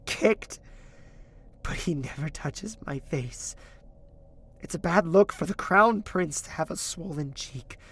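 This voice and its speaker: An actor playing a wounded young crown prince in an audio drama, proud, bitter and pleading, with formal, articulate speech. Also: male voice, on the high side, gravelly, a bit bright, full character voice. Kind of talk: audio drama with inner monologue.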